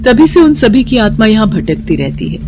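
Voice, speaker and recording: A woman's voice: a little high, very animated, normal speed, music in the background. A woman narrator reading a scripted story in a dramatic storytelling style.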